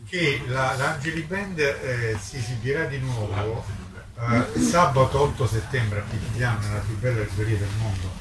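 A man's voice: mid-range voice, a loud crowd. A man giving an informal public presentation, emphatic and polemical, wandering through hesitations and digressions. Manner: halting, digressive delivery with drawn-out hesitations. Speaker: book publisher presenting a literary festival. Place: live public book presentation, recorded from a distance. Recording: distant mic, echoey, clearly noisy ambience.

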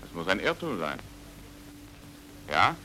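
An actor playing a stubborn, combative pulp novelist in a German radio crime drama, speaking in a terse, dry, direct way. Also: male voice, low-pitched, very animated, takes it slowly, noisy background, full character voice. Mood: brisk, eager.

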